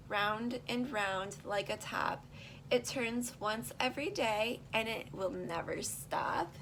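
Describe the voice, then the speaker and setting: A woman reading a rhyming picture book aloud to young children, pausing to explain and put simple questions to her listeners. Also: on the high side, a bit bright, slight background noise.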